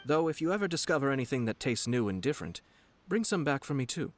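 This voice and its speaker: An actor playing a refined young nobleman in a video game, courteous, composed and slyly teasing, with formal, measured speech. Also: medium pitch, sing-song, a little hiss or hum, natural voice. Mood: relaxed, courteous. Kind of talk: scripted game character voice lines. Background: background music.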